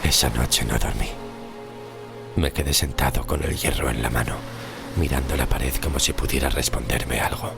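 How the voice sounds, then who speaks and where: An actor playing a weary, tormented sixteenth-century shipwrecked sailor, narrating a horror audio drama in a grave, slow, literary way with a gravelly, very deep voice. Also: male voice, fairly even intonation. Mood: bleak, resigned. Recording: music under the voice.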